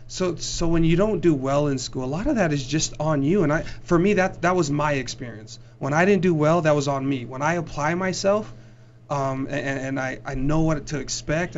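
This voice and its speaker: A man who is a former football player, speaking earnestly and haltingly as a studio guest. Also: on the high side, highs cut off, a little hiss or hum. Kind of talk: studio discussion.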